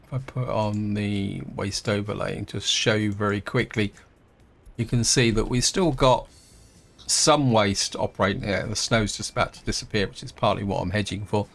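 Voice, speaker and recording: A man, croaky from a throat infection, casually thinking aloud as he plays through a strategy game, with lots of fillers and restarts. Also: fairly low pitch, moderate pace, a bit bright. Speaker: gameplay host. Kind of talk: casual let's-play commentary.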